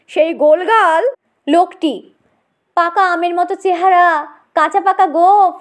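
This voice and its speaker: A woman narrating a comic audio story as an expressive storyteller. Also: very high voice.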